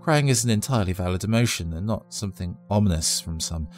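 A man's voice: lowish voice, animated, fast talker, a little mellow, faint music behind. A man narrating a scripted first-person horror story in a dramatic, tense storytelling manner.

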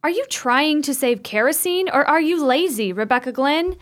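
Woman acting as outspoken suspicious sister in a radio play, high voice, really swoopy and insistent. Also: lively intonation, moderate pace. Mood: impatient, insistent. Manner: indignant, insistent, emphatic. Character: Emma, outspoken, suspicious woman grieving her brother.

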